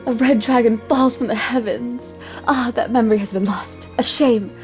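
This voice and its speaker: A woman's voice: a little high, fairly even intonation, normal speed, soft background music. A woman giving a mock-dramatic amateur reading, theatrical and self-mocking, often breaking off into casual asides. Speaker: amateur reader.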